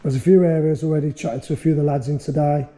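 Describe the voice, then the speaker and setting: Hoarse man vlogging with sore throat. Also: fairly high pitch, steady tone, fast, warm tone. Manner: rambling, many fillers and restarts. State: losing his voice, sore throat. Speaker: workshop owner and channel host. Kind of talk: casual vlog walkaround.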